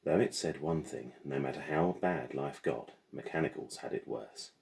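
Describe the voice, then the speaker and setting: A man reading a children's novel aloud in expressive storybook narration. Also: rumbling low, moderate pace, gravelly. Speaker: teacher reading aloud to pupils.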